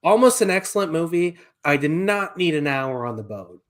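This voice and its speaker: A man in his twenties co-hosting a casual podcast, giving relaxed, dry opinions. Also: a little high, moderate pace. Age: 20s. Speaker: podcast co-host.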